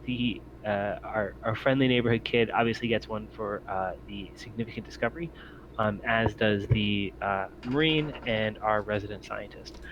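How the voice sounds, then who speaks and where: A man's voice: fairly low pitch, steady tone, moderate pace. Man as game master, muffled and noisy. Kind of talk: casual talk running a tabletop RPG session. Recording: muffled audio with clear background noise.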